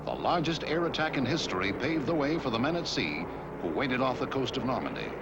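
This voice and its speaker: A man's voice: mid-range voice, sing-song, fairly quick, heavy background noise. A man reading scripted historical narration for a war documentary.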